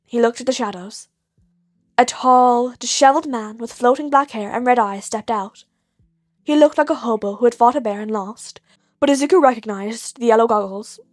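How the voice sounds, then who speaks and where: A woman's voice: fairly high pitch, moderate pace, no echo, faint music behind. A woman reading a scripted fan fiction story aloud as its narrator. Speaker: podfic narrator.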